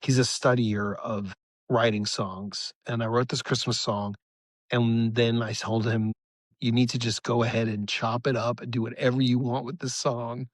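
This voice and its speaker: A man's voice: mid-range voice, level tone, moderate pace, dry recording. A middle-aged American man chatting casually as an interview guest, informal and rambling, with enthusiasm. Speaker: guest musician, rock band singer-songwriter. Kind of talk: casual chat.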